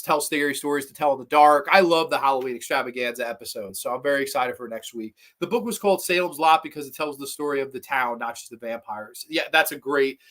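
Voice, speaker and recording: A man's voice: mid-range voice, a bit bright. A young man hosting a horror movie livestream, rambling and opinionated, swearing casually and a little congested with a slight cough. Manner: rambling, opinionated, swears casually, reads chat comments aloud. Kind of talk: casual livestream review chat.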